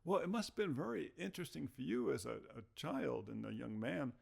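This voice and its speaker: Gravelly, husky-voiced male host. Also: mid-range voice, very animated, brisk. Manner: chatty, thinks aloud, repeats words. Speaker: show host. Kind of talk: interview host.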